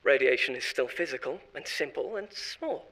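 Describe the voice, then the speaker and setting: An actor playing a flippant male corporate insider who confesses dark secrets in a glib, chuckling, self-justifying way, in a horror audio drama. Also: medium pitch, lively intonation, normal speed, poor audio.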